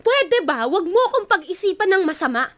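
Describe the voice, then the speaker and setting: Expressive woman, acting an earnest young working wife. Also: very high-pitched, a little fast, full character voice. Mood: indignant, distraught. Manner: expressive, emotional. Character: earnest, hardworking young factory worker turned wronged wife. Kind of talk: radio drama acting.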